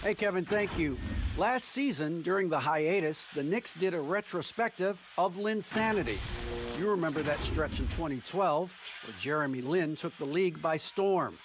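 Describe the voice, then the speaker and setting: A man's voice: fairly high pitch, animated, normal speed, noisy background. A man giving a polished, newsy sideline report in a basketball video game broadcast.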